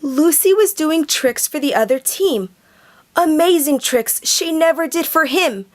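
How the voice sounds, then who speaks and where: Woman, children's book reader, slow careful reading, slightly high voice. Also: lively intonation. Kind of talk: reading a picture book aloud.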